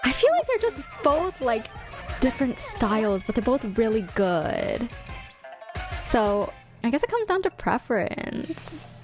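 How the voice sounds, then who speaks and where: A woman's voice: fairly high pitch, huge pitch swings, unhurried, music in the background. A woman giving casual, chatty reaction commentary as host, with a playful, exclamatory manner. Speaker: host ranking game trailers.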